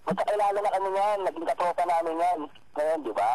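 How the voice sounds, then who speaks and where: A man's voice: sits high, fast talker, a little mellow, dry recording. A man with a Filipino accent arguing defensively on a phone call, repeating himself and tagging on questions. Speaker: rapper receiving a prank call. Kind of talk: argumentative phone conversation. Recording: phone call with crowd noise behind.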